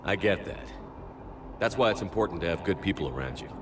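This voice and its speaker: A man's voice: deep voice, expressive, a little fast, noisy background. An actor playing a blunt, direct male starship commander who speaks tersely. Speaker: military commander. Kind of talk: video game voice acting.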